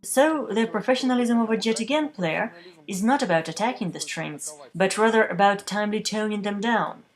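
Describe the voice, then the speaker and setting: A woman reading a translated news voice-over at a measured, steady pace, recorded in a booth. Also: mid-range voice. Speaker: voice-over interpreter for a news feature.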